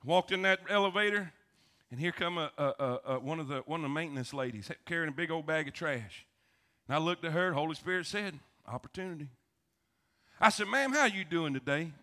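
High, bright-voiced Southern preacher man. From South Florida, United States. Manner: folksy, animated, repeats phrases, calls for amens. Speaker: pastor. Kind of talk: sermon, folksy storytelling to a congregation.

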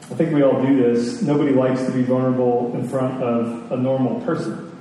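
A man preaching a sermon and teaching scripture, earnest and emphatic, with rhetorical questions, pauses and repetition. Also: mid-range voice, flat delivery, dark tone. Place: large reverberant room. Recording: echoey hall, distant mic, faint noise.

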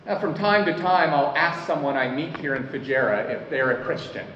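Pastor delivering a sermon, earnest and deliberate; dull, roomy recording with faint ambience. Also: male voice, fairly high pitch, lively intonation, slight background noise. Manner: earnest preaching, slows to stress key lines. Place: church hall. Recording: echoey hall, mic at a distance, dull sound.

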